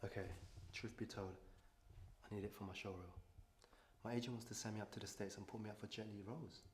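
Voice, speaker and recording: A man's voice: lowish voice, steady tone, fast, reverberant, a little hiss or hum, close to an everyday voice. A man playing an ambitious actor who is eager to persuade. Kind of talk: short film acting.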